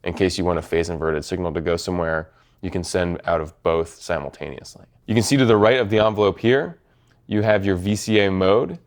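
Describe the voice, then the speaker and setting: A man's voice: deep voice, fairly even intonation, a little fast. A man giving a methodical, matter-of-fact product demonstration as a presenter. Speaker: product presenter from a synth maker. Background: synthesizer tones.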